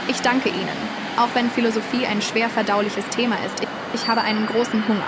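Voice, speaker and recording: A woman's voice: a little high, fairly even intonation, brisk, very noisy, natural voice. A woman playing a polite, curious traveller in a comic audio drama. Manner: polite, questioning.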